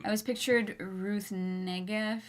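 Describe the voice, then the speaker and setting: A woman's voice: medium pitch, fairly even intonation, slow. A woman hosting a book club podcast, chatting casually with lots of fillers. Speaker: podcast host.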